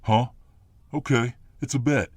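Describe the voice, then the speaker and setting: A man playing a gruff, protective, muscular fireman boyfriend full of tough-guy bluster in an ASMR roleplay. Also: medium pitch, steady tone, a little slow, a little mellow, dry recording. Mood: defiant.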